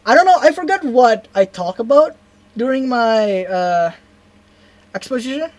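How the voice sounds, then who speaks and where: Halting young woman with an Indonesian accent. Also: fairly high pitch, lively intonation, a little mellow, no echo. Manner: rambling, halting, self-correcting, keeps asking "right?". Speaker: vlogger. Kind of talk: storytime vlog.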